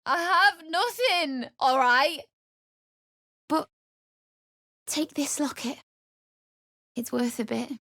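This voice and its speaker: A woman's voice: sits high, expressive, takes it slowly, long pauses, pushed and bright, no room sound, studio quality, heavily acted. A young woman voicing a desperate, bitter, grieving widow in a fantasy video game, emotional and blunt. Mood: bitter, defensive. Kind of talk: video game character dialogue.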